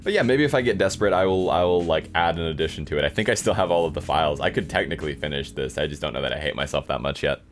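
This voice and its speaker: A man's voice: extremely low pitch, rapid-fire, hardly any pauses, soft background music. A man doing casual, dry, self-deprecating commentary as he hosts a playthrough of his own game. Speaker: host playing own old visual novel.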